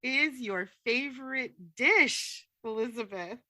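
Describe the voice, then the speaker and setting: A woman's voice: fairly high pitch, slow pace, pushed and bright, dry recording, studio quality. A young adult woman from the United States hosting a podcast, enthusiastic and affirming with her guest. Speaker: podcast host. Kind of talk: podcast interview conversation.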